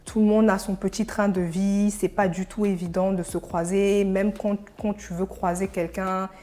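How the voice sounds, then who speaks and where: A young woman from Senegal, an interview guest, talking casually and pausing mid-thought. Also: mid-range voice, level tone, mellow. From Senegal, of Burkinabe descent.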